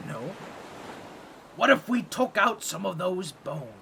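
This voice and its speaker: A man's voice: high voice, expressive, takes it slowly, vocal fry, bright, forceful tone, a little hiss or hum, full character voice. A man voicing a boastful, scheming octopus merman with a grating, nasal, squawky voice and a pompous, awkward manner in a comedic audio roleplay. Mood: flustered, awkward. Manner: theatrical, pompous, fumbling with awkward asides.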